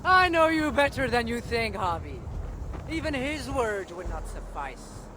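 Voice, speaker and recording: An actress playing a haughty, menacing ruler of the underworld in a video game cutscene, with a taunting, imperious delivery. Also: female voice, on the high side, very animated, a little slow, crowd noise. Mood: taunting, cold. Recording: loud background noise of game ambience.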